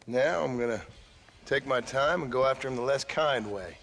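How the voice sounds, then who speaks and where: A man's voice: medium pitch, normal speed, a bit bright, natural voice. A man voicing a terse, wounded gunslinger, dry and polite, guarded in his words. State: recovering from a gunshot. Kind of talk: video game cutscene dialogue.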